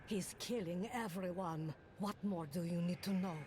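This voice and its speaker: An actress playing a wry, self-assured older seer in a video game, with an unruffled, theatrical manner. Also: female voice, fairly low pitch, normal speed, noisy background, close to an everyday voice. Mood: dry. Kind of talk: video game dramatic acting. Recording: loud game ambience behind the voice.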